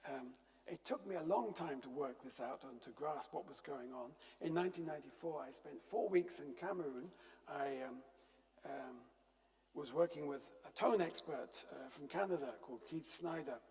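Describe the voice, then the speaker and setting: Gravelly older man lecturing in a church hall. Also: a little high, darkish tone. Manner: measured, wry storytelling with frequent ums. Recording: long reverb of a church hall.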